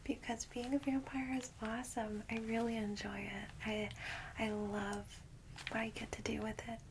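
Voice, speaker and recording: A woman playing a friendly, caring vampire guide in a soft, breathy ASMR whisper, gentle and informal. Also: a little high, level tone, moderate pace, background noise, lightly acted. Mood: reassuring. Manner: gentle, hesitant, reassuring, informal.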